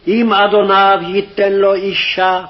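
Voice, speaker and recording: A man's voice: high-pitched, completely flat delivery, racing. A man formally reciting scripture phrase by phrase, on a dull, lo-fi archival recording. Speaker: scripture reader. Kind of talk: scripted Hebrew Bible reading.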